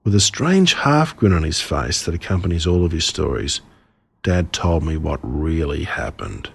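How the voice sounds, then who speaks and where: Husky Australian man, animated storyteller. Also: extremely low pitch, normal speed. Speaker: author reading his own stories. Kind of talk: scripted storytelling narration.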